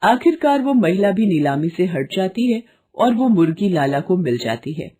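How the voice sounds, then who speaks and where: A woman's voice: on the low side, very animated, normal speed, dark tone, slight background noise. A woman storyteller giving scripted narration for a children's moral tale.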